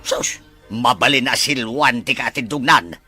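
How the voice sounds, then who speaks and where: A man playing a plain-spoken married man in a domestic drama, with a rough, husky voice. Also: mid-range voice, unhurried, pushed and bright, faint music behind. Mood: grumbling. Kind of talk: Ilocano radio-style drama acting.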